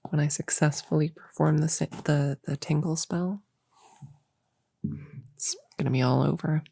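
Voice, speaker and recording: A woman plays a young novice witch in an intimate ASMR roleplay, murmuring low and unhurried. Her soft, breathy voice has a crackle of vocal fry and often sinks to a whisper. She muses casually to a friend, eager and a bit grumpy, close on the mic. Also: dry recording, full character voice. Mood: smug, determined. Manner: casual, hesitant, musing aloud to a friend. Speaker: audio roleplay performer. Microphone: close microphone in a dry space.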